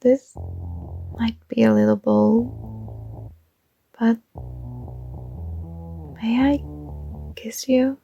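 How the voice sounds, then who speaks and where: A young woman playing a shy, smitten student in an intimate ASMR roleplay, speaking softly, hesitantly and tenderly, with some breathiness. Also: on the high side, steady tone, very slow, vocal fry, pauses often, muffled recording, faint music behind, exaggerated voice. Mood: nervous, breathless.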